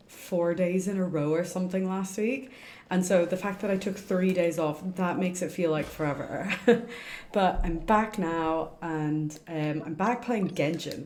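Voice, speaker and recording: A young woman chats informally on a game livestream, wandering from thought to thought. Her voice is slightly low and soft-edged, recorded in an echoey room with faint noise. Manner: rambling, informal, self-interrupting. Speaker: game streamer. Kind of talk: casual livestream chat while gaming. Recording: roomy echo, faint noise.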